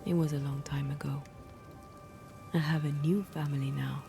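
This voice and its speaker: An actress playing a guarded, devoted female ghost hunter in a video game, with a hushed, deliberate, sorrowful delivery. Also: sits low, steady tone, gravelly. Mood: wistful, sorrowful. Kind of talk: dramatic video game cutscene acting. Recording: music under the voice.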